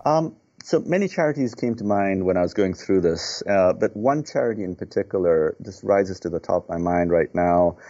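Nepal-born guy, low dark husky voice, lots of uh and restarts, kinda muffled lo-fi sound. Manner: earnest, repeats words and fills with "uh". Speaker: guest, healthcare executive. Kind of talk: podcast interview answers.

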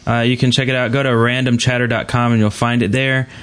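A man's voice: mid-range voice, little pitch movement, fast, fairly bright tone, smooth. A man hosting a podcast in a casual, conversational style. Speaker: podcast host.

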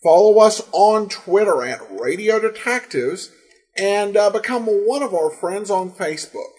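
A man hosting a podcast, giving a relaxed, chatty introduction with many fillers. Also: sits high, measured pace. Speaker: podcast host.

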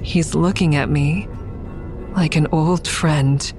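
A woman playing a guarded, tense heroine in an audio drama, telling her story in a measured, deliberate, suspenseful way. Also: deep voice, steady tone, loud music behind the voice. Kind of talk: first-person audio drama narration and dialogue.

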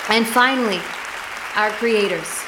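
A woman playing an effusive, theatrical Broadway director giving a gushing, grandiose speech with dramatic pauses. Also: medium pitch, close to an everyday voice. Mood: grandly grateful. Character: effusive, theatrical female Broadway director addressing an opening-night crowd. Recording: echoey stage microphone with noisy crowd.

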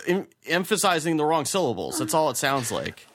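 A man's voice: on the high side, big pitch swings, brisk. A man co-hosting a casual podcast, teasing dryly and hesitating with fillers. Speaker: podcast co-host. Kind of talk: casual chat.

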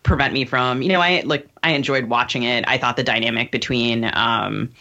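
A woman with a strikingly bright, forward voice offers analysis in a casual podcast discussion. She is thoughtful and halting, hedging as she goes. The recording is a little muffled. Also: rumbling low, steady tone. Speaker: podcast host.

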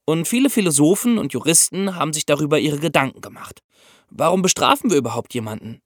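Animated, higher-voiced man explaining, dry sound. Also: fast talker, no echo. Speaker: podcast co-host. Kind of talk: explanatory podcast talk for young listeners.

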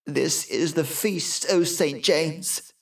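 A man voicing an eerie, vengeful old Scottish woman in dramatic, drawn-out dialect for a storybook tale. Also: fairly high pitch, gravelly. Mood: ominous, insistent.

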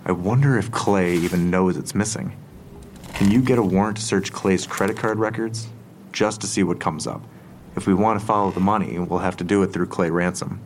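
Low-voiced, methodical police investigator. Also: male voice, steady tone, slight background noise. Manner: businesslike, measured questioning. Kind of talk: audio drama acting.

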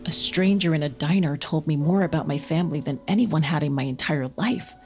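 A woman's voice: low voice, fairly quick, a little mellow. An actress playing a guarded, troubled mother in her thirties, hesitant and secretive, in an audio drama. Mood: somber, confiding. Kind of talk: audio drama acting and first-person narration. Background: music.

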